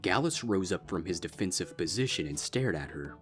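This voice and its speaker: A man's voice: low voice, expressive, brisk, a bit bright, faint music behind. A man reading a scripted fan fiction story aloud as its narrator.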